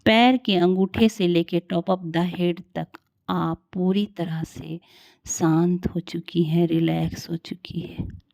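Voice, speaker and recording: A woman leading a guided meditation in a calm, soothing, unhurried way with long pauses. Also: lowish voice, vocal fry, dry recording.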